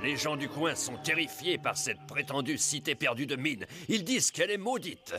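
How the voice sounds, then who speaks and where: A man's voice: a little high, expressive, normal speed, close to an everyday voice. A man playing a scheming, bossy animal trapper in a French cartoon, with a theatrical, sardonic manner. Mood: sly, menacing. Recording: loud music under the voice.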